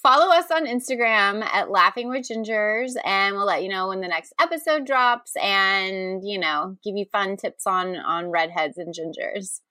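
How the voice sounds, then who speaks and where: A woman's voice: medium pitch, moderate pace, few pauses, pushed and bright, no room sound. A woman co-hosting a podcast in casual comedic chat, with a giggly, playful manner. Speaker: podcast co-host.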